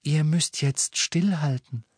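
A gentle, helpful teenage girl acted by a male reader in a storybook. The voice is raised in pitch, dreamy, polite and unhurried. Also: slightly rough, no room sound, clean studio sound. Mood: gentle, calm.

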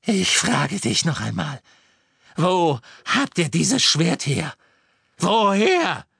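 A cruel witch villain, acted by a male reader in a storybook. The voice is pushed high and loud, with a sharp, commanding edge and a short temper. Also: big pitch swings, slow, gravelly, slightly rough, no echo, studio quality, heavily acted. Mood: sharp, menacing.

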